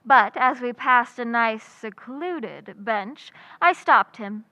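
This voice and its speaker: Woman as a wry American schoolteacher, hopeful romantic, very high bright voice, radio comedy style, slightly dull recording. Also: lively intonation, slow, exaggerated voice. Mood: wry, coaxing. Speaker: actor in community playhouse radio recreation. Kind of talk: old-time radio comedy acting.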